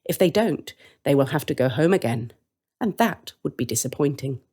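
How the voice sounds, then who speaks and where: Low-pitched, animated woman storyteller. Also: normal speed, breathy edge. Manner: wry, direct address to the listener. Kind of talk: scripted story narration.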